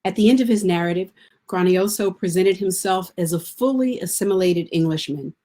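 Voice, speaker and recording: A woman giving a scripted talk on literary history, reading in a steady, informative way. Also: fairly low pitch, brisk. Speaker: presenter.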